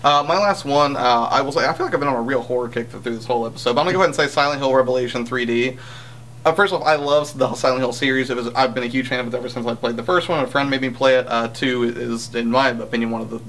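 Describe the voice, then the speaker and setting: Fast-talking young man, casual and hyperbolic. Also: medium pitch, fairly even intonation, fairly bright tone, a little hiss or hum. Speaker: podcast co-host. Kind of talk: casual chat, opinionated film and game review.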